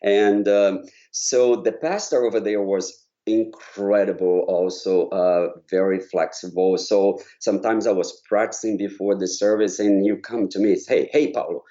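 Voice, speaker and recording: A Portuguese-accented man with a very low, mellow voice recalls his path in a relaxed, halting way, often restarting his sentences. The recording sounds a bit dull and lo-fi. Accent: Brazilian Portuguese. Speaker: choral conductor guest. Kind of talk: casual interview conversation.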